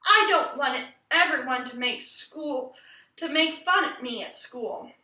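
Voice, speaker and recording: A woman's voice: sits high, a little slow, bright, forceful tone, reverberant, distant mic, exaggerated voice, low-quality recording. A woman voicing a cross, stubborn young girl in a storybook reading, whiny and emphatic. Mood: anxious, pleading.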